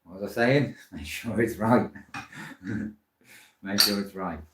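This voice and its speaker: A very low-voiced Welsh-born man chats and rambles as he turns wood, poking fun at himself along the way. He sits well back from the microphone in a roomy, echoing workshop. Faint clicks come through behind his voice. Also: slow, background noise. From Wales. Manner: chatty, rambling, self-deprecating. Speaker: woodturner hosting a live stream. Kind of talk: live workshop demonstration chat. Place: woodworking workshop. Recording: echoey workshop, distant mic.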